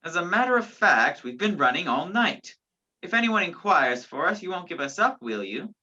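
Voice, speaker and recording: Woman playing a sardonic man on the run, low-voiced. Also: sing-song, moderate pace, sharp tone, heavily acted. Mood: smooth, covering up. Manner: dry sarcasm, clipped orders. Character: sardonic, commanding man on the run, falsely accused. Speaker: student stage actor. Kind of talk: radio play acting. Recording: dull, lo-fi virtual recording.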